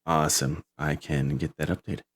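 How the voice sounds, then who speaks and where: A man's voice: extremely low pitch, little pitch movement, moderate pace. A man hosting as game master, chatting casually and informally before an online tabletop game.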